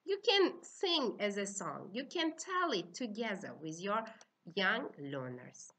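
A middle-aged woman with an Uzbek accent, lecturing to trainee teachers in simple, halting, instructive phrases. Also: mid-range voice, very animated, fairly bright tone. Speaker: university teaching-methods lecturer.

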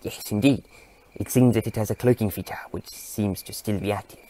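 A man playing an excitable, erudite old male scientist in an audio drama, with a fussy, courteous, delighted manner. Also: lowish voice, fairly quick, lightly acted. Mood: pleased.

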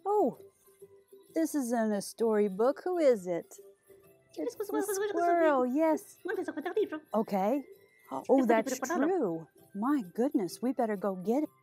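A middle-aged woman speaks to small children as a storytime host. Her voice sits on the high side and she takes her time over each phrase. The delivery is animated and sing-song, made for reading picture books aloud. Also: slow pace, pauses often, slightly rough, faint music behind. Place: small decorated indoor storytime set.

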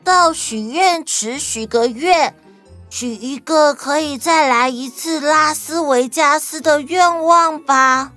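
A woman's voice: high voice, slow, pushed and bright, soft background music, exaggerated voice. A woman voicing a cheerful, childlike, slightly teasing companion in a children's audio story. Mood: cheerful, helpful.

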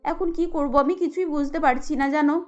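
A woman's voice: high voice, moderate pace, a little mellow, muffled recording, soft background music, lightly acted. A woman voicing an earnest, anxious young daughter-in-law in a cartoon, pleading and polite. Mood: worried, pleading.

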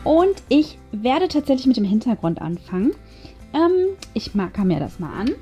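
A woman's voice: on the high side, big pitch swings, moderate pace, dark tone. A woman hosting a craft video, casually narrating each step and thinking aloud as she goes. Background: background music.

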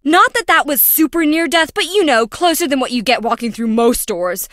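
A quirky young female engineer in a video game. Her voice is high, bright and very animated, with a chatty, wry, scatterbrained way of talking, closely and dryly recorded. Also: normal speed, no echo, clean studio sound. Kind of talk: video game character voice acting.

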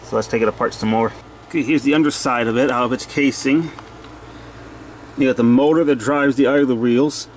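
Male hobbyist repairer, mid-pitched, plain-spoken and unscripted, talking to himself on handheld camera audio with faint hum behind. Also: steady tone, a little fast. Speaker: hobbyist repairer narrating own video. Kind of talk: casual off-the-cuff repair commentary. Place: cluttered indoor workshop.

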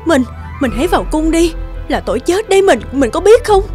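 A frightened wife in an animated tale. Her high voice pleads in distress, with faint music behind her. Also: brisk. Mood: pleading. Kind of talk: animated moral tale dubbing.

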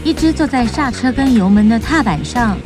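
A woman's voice: fairly high pitch, expressive, moderate pace. Woman narrating a children's story over music. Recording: music bed under narration.

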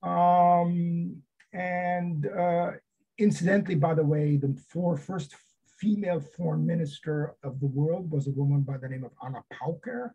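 An older man from Romania giving a seminar talk about his memoir over a video call, pausing often with fillers. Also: on the high side, fairly even intonation, warm tone, breathy edge. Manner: hesitant, many fillers. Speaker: seminar speaker, author. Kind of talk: academic talk. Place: home study with bookshelves. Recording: dull lo-fi video call audio.

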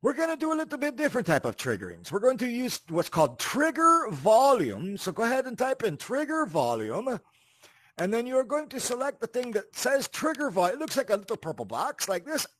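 High-pitched man with very animated delivery. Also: a little fast, dry recording. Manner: rambling, repeats words, checks in with "right?" and "you see". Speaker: host of a video game tutorial channel. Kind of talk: casual spoken tutorial with asides.

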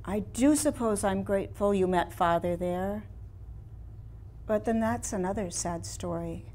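An actress in her sixties gives us an ailing, melancholy woman painter reflecting on her life. She speaks very slowly in a medium-pitched voice, leaving long pauses. The delivery is measured and theatrical, like a monologue read on stage. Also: a little mellow, a little hiss or hum, lightly acted. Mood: pained. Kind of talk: staged play reading, dramatic monologue. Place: small indoor room before a seated audience.

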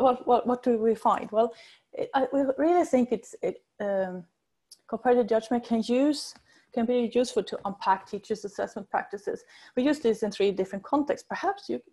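A middle-aged woman with a Swedish accent giving a research presentation over video call, enthusiastic and halting as she searches for words. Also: a little high, mellow, breathy edge. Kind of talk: conference presentation. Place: indoors at home or office, on video call.

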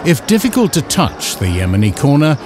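A man reading scripted instructional narration in a measured, formal, carefully enunciated way. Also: fairly high pitch, huge pitch swings, over music. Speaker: narrator of an educational film.

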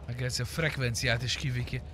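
A man's voice: mid-range voice, fairly even intonation, a bit bright, slight background noise. Blunt, sarcastic man chatting while gaming. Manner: blunt, sarcastic, swears freely. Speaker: gamer streaming a roleplay game. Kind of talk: casual gaming chat.

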